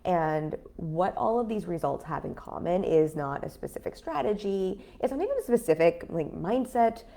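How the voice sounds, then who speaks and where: A woman in her thirties talking casually on a livestream as a business coach, her voice raspy after an illness, chatty with many fillers. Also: lowish voice, animated, moderate pace, dark tone. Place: home in a city apartment.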